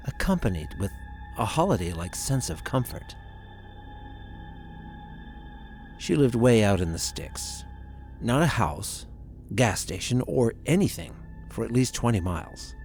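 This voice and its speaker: A man narrating a scripted horror story in the first person as a haunted grandson, with measured, dramatic delivery and deliberate pauses. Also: lowish voice, very animated, a little mellow. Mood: somber, uneasy. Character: haunted grown grandson recounting a frightening visit. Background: music.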